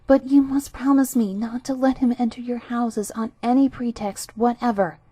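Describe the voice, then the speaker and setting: An aging mother pig in a storybook speaks with a raised, anxious voice, earnest and fond as she warns her young, as a woman narrator puts her on. The tone is mellow and warm. It is recorded close and dry. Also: a little high, steady tone, normal speed, husky, no echo, pitched above the performer's own voice. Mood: pleading. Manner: earnest and warning.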